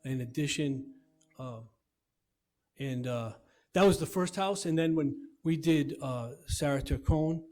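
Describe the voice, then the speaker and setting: A man presenting a subdivision plan to a town planning board, loud and halting with many restarts. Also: a little high. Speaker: applicant's representative.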